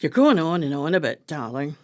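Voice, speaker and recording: Actress as lively, practical wife. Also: female voice, low voice, brisk. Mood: firm, soothing. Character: brisk, practical wife, former tennis champion turned coach. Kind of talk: radio play acting.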